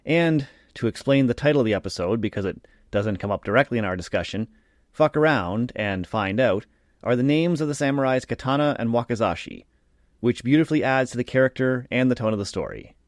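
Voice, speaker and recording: A man's voice: mid-range voice, expressive, no echo, studio quality. A man hosting a podcast interview, reading a prepared introduction and then talking loosely with fillers. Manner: reads a scripted intro, then chats with pauses and fillers. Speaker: podcast host.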